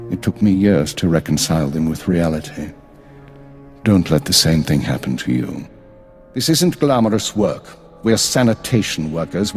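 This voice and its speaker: A stern tired spy boss in a game, very low voice, formal and measured, faint music. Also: male voice, a little mellow. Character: stern, weary male spymaster, formal and pragmatic.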